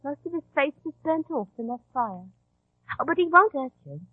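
An actress in 1940s radio style playing an informal, reassuring go-between for a secret society. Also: female voice, sits high, very animated, moderate pace, no echo. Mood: nervously reassuring. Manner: informal, reassuring, hesitant asides. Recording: tinny, band-limited old radio broadcast.

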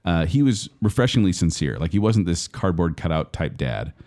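A grown man co-hosting a casual movie review podcast, animated and quick to laugh. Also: low voice. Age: adult. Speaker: podcast co-host.

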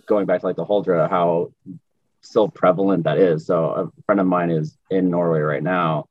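A man's voice: fairly even intonation, moderate pace. A man chatting casually as a podcast host, in an informal, slangy and emphatic way. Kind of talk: casual chat. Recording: roomy, muffled low-fidelity audio.

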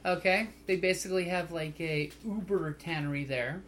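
A woman's voice: fairly low pitch, normal speed, a little hiss or hum. A woman narrating a tabletop roleplaying game as game master in a playful, teasing way. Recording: music behind the voice.

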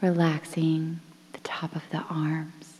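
Female meditation guide, deep and mellow, soft breathy delivery, bathed in long reverb. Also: monotone, a little hiss or hum. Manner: soothing, breathy, near-whispered, measured phrases with pauses. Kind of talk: guided relaxation meditation, softly spoken. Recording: long reverberant hall-like echo.

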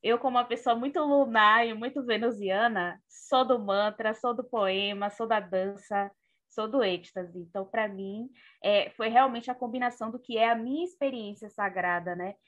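A Brazilian woman chatting casually and effusively as a panelist giving her closing remarks, telling things in an animated, informal way. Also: a little high, moderate pace, lo-fi sound. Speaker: panelist on a live online discussion show.

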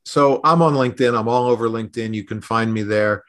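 Measured man in a podcast interview. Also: fairly low pitch, a bit bright. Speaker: podcast host being interviewed as a consultant.

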